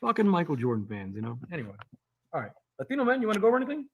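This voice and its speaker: A man's voice: sits high, huge pitch swings, very fast, creaky, no room sound. A man hosting a call-in live stream, arguing in a casual way and repeating himself, often saying "man". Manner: argumentative, repeats words and says "man" a lot. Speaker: livestream host.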